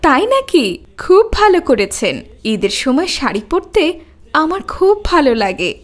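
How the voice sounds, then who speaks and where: A woman's voice: sits high, big pitch swings, normal speed. A woman voicing a coy, pleased wife in a cartoon. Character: rich man's wife, coy, pleased with finery. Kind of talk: cartoon voice acting.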